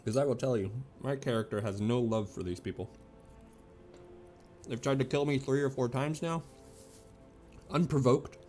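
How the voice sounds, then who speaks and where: A man's voice: medium pitch, normal speed, pauses often, darkish tone, faint music behind. A man streaming a video game with casual, playful and sometimes sweary commentary.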